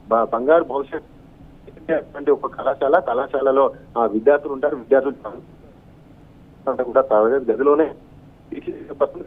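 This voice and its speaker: A man giving an unscripted live news report as a field correspondent, wordy and halting, over a phone link. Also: mid-range voice, breathy edge. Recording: thin, narrow phone-line sound.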